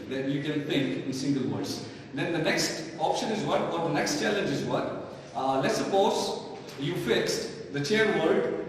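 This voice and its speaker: A man with a Pakistani accent teaching a live online English lesson in a didactic way, often asking questions and answering them himself. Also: a little high. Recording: very echoey hall, distant mic, faint noise.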